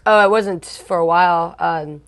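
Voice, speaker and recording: A young woman from Long Beach, California, talks through her musical past in a relaxed interview. Her speech is loose and chatty, packed with fillers and slang. She is blunt and drops the odd curse without a second thought. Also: on the low side, measured pace. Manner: blunt, slangy, swears casually. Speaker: punk band singer, interview guest. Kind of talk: casual storytelling interview.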